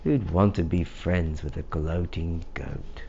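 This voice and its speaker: A man's voice: low voice, takes it slowly, vocal fry, lo-fi sound, noisy background, exaggerated voice. A man voicing scornful townspeople in a storybook, calling out in a mocking way.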